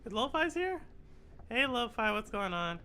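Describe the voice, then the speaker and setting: A streamer with a raspy, congested voice, chatting with viewers and muttering aloud while playing a game live. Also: medium pitch, big pitch swings, moderate pace, bright, forceful tone, slight background noise. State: congested from a cold. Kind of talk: live gameplay stream with chat.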